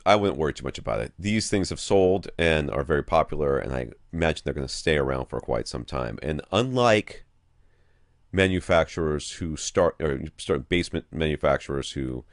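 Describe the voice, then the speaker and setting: A man with a very low voice hosts a casual podcast. His delivery swings widely in pitch and has a wry, jokingly blunt edge as he thinks out loud. The sound is dry and close. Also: animated, normal speed, dry recording. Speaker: podcast host. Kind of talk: casual banter, reading out listener questions.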